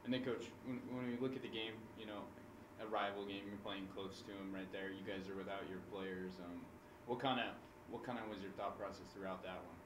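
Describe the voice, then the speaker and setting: A man's voice: on the low side, fairly even intonation, a little fast, roomy sound, distant mic, background noise. A man interviewing a coach, asking brief, informal questions.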